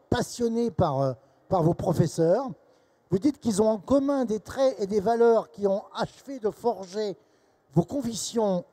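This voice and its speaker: A man conducting a literary interview, quoting from a book in wordy, deliberate phrasing with frequent interjected tags. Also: high voice, warm tone. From provincial France.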